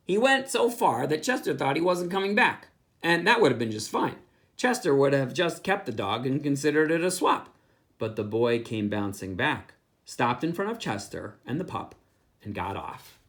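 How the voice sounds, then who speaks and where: A pastor reading a children's picture book aloud, with playful asides. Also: male voice, fairly high pitch, normal speed. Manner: animated storytelling with asides.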